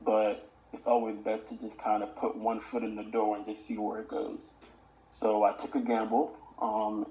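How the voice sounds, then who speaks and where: Low, flat-voiced young man, reflective. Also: slight background noise, poor audio. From born in Uganda, raised in the Washington DC area. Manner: measured, reflective, frequent um fillers. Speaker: podcast guest. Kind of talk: casual interview talk.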